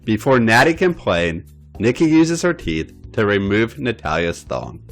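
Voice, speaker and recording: A man narrating a story aloud as a podcast host, with an expressive reading style. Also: on the low side, moderate pace, soft background music.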